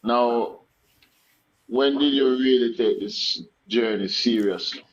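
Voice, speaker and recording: A man hosting a casual radio interview, who backs up the guest with short affirmations and asks slow, drawn-out questions. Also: medium pitch, highs cut off. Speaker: radio host.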